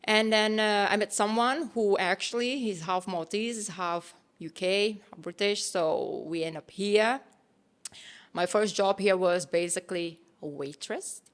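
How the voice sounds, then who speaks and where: Slow-talking woman from Thailand. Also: medium pitch. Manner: relaxed, frequent fillers and restarts, non-native phrasing. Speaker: guest. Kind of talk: casual interview chat.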